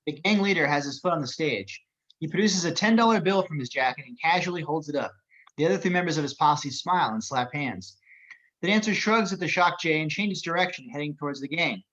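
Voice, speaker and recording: A man narrates scripted action descriptions at a table read. His voice is on the higher side for a man. The recording is dull and lo-fi. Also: expressive, fairly quick, a bit bright.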